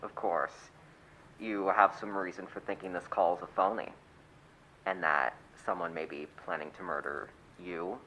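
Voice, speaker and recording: A man's voice: deep voice, fairly even intonation, a little slow, pushed and bright, a little hiss or hum. A man playing an unimpressed, patronising police desk officer in a suspense radio play, matter-of-fact, with muffled sound. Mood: skeptical, patronising.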